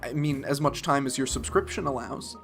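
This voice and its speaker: A young man playing a reassuring companion-service pitchman in a scripted audio drama. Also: fairly quick, vocal fry, over music, lightly acted. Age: young adult.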